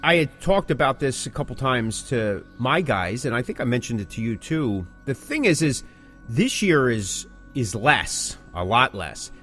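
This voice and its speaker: A man's voice: mid-range voice, sing-song, a little slow, fairly bright tone, soft background music. A man co-hosting a podcast in casual chat, repeating phrases for emphasis. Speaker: podcast co-host.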